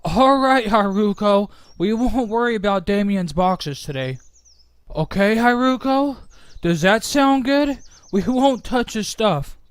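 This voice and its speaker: A man playing a kindly, reassuring inventor with a gentle, folksy manner in a scripted game roleplay. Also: high-pitched, expressive, slow pace, smooth, no echo. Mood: gently reassuring. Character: kindly, reassuring male inventor and household head. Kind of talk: scripted Minecraft roleplay acting.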